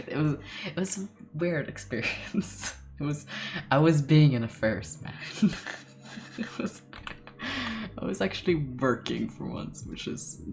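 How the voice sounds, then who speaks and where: A young man in his late teens with a deep voice, chatting casually on a livestream while drawing, rambling with lots of fillers, laughing often and swearing casually. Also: vocal fry. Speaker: artist streamer.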